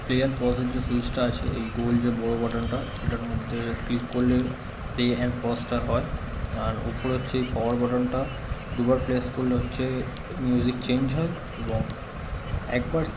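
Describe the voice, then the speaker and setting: A man's voice: mid-range voice, level tone, normal speed, very noisy. A man giving a casual hands-on product demonstration as a vlogger, explaining plainly step by step. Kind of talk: casual hands-on tutorial narration.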